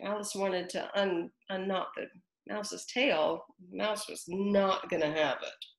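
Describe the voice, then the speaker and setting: A woman reading a children's story aloud with chatty asides to young listeners, in an animated way. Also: mid-range voice, takes it slowly. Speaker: storybook reader. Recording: roomy echo.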